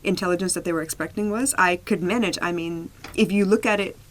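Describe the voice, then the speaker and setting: Lady in her 40s, lowish voice, talks really fast, chatty podcast guest, pokes fun at herself. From Singapore, UK, Australia, Indonesia and the US. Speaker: podcast guest, emotional intelligence therapist. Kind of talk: casual interview chat, telling life story.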